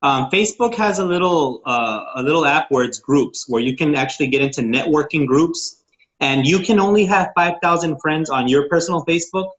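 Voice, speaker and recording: A man's voice: on the high side, brisk, fairly bright tone, highs cut off. A man, a network marketing consultant from Texas, casually teaching on a group call, eager and informal, with many fillers and restarts.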